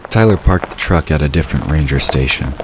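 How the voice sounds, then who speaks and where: A man's voice: rumbling low, lively intonation, fairly quick. A man narrating a scripted fantasy audio drama. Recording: noisy ambient sound effects behind.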